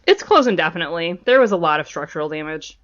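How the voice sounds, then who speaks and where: A woman's voice: lowish voice, lively intonation, quick pace, fairly bright tone, dull audio. A woman playing an officious academic in an audio drama, polite and probing with forced corporate cheer. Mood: officious, upbeat.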